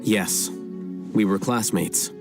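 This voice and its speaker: A young male scholar from a video game, with a fairly low voice. He is precise, blunt and detached, talking down to the listener over clear background music. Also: drawn-out words, exaggerated voice. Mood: aloof, dismissive.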